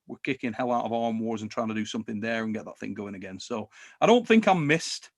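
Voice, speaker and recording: A man's voice: fairly low pitch, lively intonation, quick pace. Chatty man hosting a live chat. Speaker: host.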